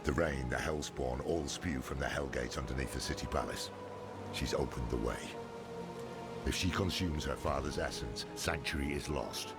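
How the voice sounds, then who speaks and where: An actor playing a gruff, world-weary old demon-hunting scholar, grave and terse, in a dark fantasy video game. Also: male voice, normal speed, over loud music. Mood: grim. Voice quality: very deep, gravelly.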